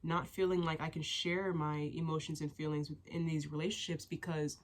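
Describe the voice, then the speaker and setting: A woman's voice: deep voice, little pitch movement, fairly quick. A young woman chatting candidly to her viewers, stuffy and sniffling from a cold, rambling with lots of fillers and restarts. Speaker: vlogger. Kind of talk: casual chat to camera.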